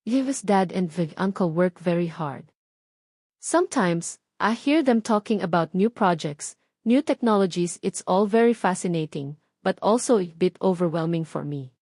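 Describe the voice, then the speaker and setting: A woman's voice: lowish voice, lively intonation, a little slow, a little mellow, no echo, studio quality. A woman with a Filipino accent voicing an innocent, admiring teenage girl in an audio drama. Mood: confiding.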